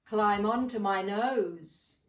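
A woman voicing a sly, falsely reassuring male fox in a storybook, coaxing in drawn-out phrases. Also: mid-range voice, natural voice. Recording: roomy, distant microphone.

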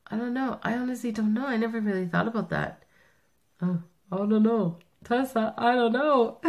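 A middle-aged woman whose first language is Italian, chatting casually and rambling through a tag video while sick, recorded on a webcam in a small room. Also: medium pitch. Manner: chatty, rambling, self-correcting. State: sick, rough voice. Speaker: vlogger.

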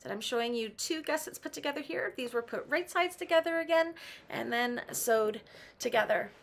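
Woman, middle-aged, higher pitch, raspy after laryngitis; practical tutorial host. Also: expressive, normal speed. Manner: patient, practical, with some "um" and restarts. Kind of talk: step-by-step sewing instruction. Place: home sewing room.

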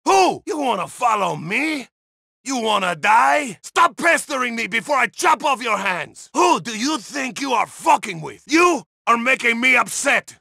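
A gravelly, shouting crime boss. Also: male voice, extremely high pitch, no room sound, studio quality, heavily acted. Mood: angry, threatening. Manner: forceful, bullying, threatening. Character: rich, menacing, foul-mouthed male crime boss. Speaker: video game character. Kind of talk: video game combat barks.

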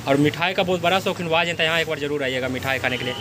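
A man's voice: a little high, rapid-fire. A man vlogging at a street sweet shop, chatting with the staff and inviting viewers to drop by. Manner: chatty, inviting, asks vendors questions. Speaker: food vlogger. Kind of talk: street food vlog. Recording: street noise behind.

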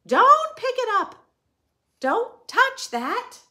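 A woman's voice: very high-pitched, wildly swooping pitch, very slow, sharp tone, voice pushed up, exaggerated voice. A woman voicing a theatrical, pushy female keeper of a magic pet shop in a picture book, with dramatic, coaxing patter. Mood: alarmed.

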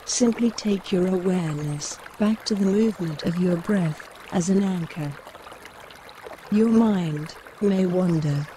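A woman gently guiding a mindfulness meditation in measured short phrases, with pauses between them. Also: fairly low pitch, slight background noise. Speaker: meditation guide. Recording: clear running stream water behind the voice. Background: trickling woodland stream.

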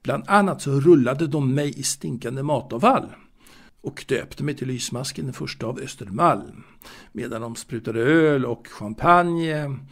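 An actor playing a fastidious, proud waiter and former seaman in an audio drama monologue, confiding in a halting, repetitive way. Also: medium pitch, vocal fry. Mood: wistful, confiding. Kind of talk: intimate dramatic monologue, told to a listener.

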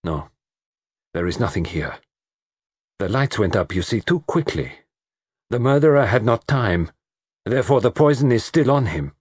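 A commanding detective with a French accent. He is deliberate, precise and theatrical, his husky voice lifting and falling widely, recorded very dry, in storybook style. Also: medium pitch, big pitch swings, no room sound, voice pushed up. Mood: analytical.

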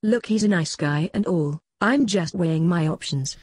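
A text-to-speech female voice playing a sardonic disembodied computer moderator, deadpan in scripted comedy dialogue. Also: on the low side, normal speed, background noise. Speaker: moderator and sidekick.

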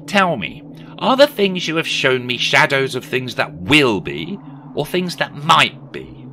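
A man's voice: medium pitch, sing-song, measured pace, bright, forceful tone, slight background noise, voice pushed up, heavily acted. A man voicing a theatrical, exclamatory miser prime minister in a storybook reading, frightened and reformed. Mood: pleading, terrified. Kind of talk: dramatic audiobook character reading.

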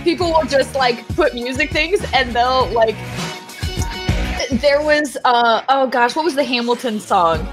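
A woman's voice: high voice, a little slow. A woman voice actress chatting casually in a group commentary, giggly, informal and exclamatory. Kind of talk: casual group chat, reacting. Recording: music playing behind the voice.

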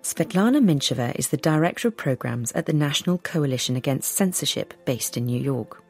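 A woman's voice: low voice, lively intonation, fairly quick, a little mellow, breathy edge, soft background music. A woman presenting a radio documentary, narrating in a scripted, inquisitive way.

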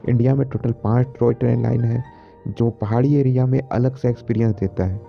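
A man's voice: mid-range voice, steady tone, brisk, gravelly, dull audio, soft background music. A young man narrating a travel vlog as its host. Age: young adult. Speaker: travel vlogger.

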